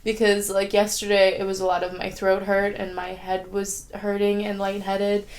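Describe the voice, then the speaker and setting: A woman's voice: mid-range voice, completely flat delivery, normal speed. Young adult woman, casual at-home vlogger, chatty and rambling, a little sick and sniffly. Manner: chatty, rambling, frequent "like" fillers. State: sniffly with a sore throat. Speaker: writing vlogger. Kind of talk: casual vlog chat. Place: at home, indoors.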